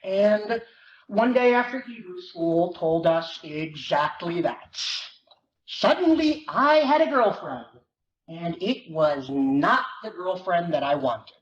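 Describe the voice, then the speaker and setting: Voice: medium pitch, lively intonation, slow. A performer reading as an awkward teenage boy who narrates his comic confession in a wry, self-deprecating way. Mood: wry, rueful. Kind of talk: dramatic reading of a first-person novel. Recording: muffled, noisy, echoey room recording.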